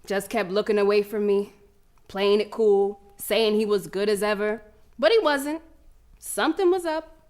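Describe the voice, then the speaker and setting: A woman's voice: mid-range voice, moderate pace, a little mellow, lightly acted. A woman playing a fierce, proud young girl runner, telling her story as a brash, streetwise dramatic monologue. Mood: tender, hurt. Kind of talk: dramatic first-person monologue.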